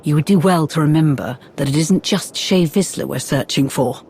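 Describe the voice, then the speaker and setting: An actress playing a cool, calculating imperial intelligence officer in a video game cutscene, speaking in a formal, precise way. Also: female voice, deep voice, normal speed, darkish tone, slight background noise. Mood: cool, guarded.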